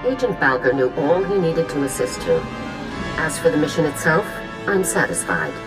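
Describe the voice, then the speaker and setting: An actress playing a stern, commanding military general in a video game, with a clipped, dry, authoritative manner. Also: female voice, low-pitched, big pitch swings, moderate pace, poor audio. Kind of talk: scripted video game acting. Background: music.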